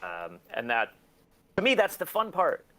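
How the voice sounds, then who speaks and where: A man's voice: a little high, wildly swooping pitch, unhurried, bright, forceful tone. A man chatting casually as an interview guest, candid, profane and self-deprecating, telling rambling stories and acting out quotes. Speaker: guest, collector.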